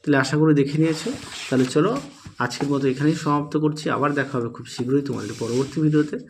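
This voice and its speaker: A man's voice: mid-range voice, steady tone, rapid-fire, slight background noise. A man teaching, dictating exam answers and often repeating key points. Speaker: teacher presenting exam solutions. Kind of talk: instructional walkthrough of questions and answers.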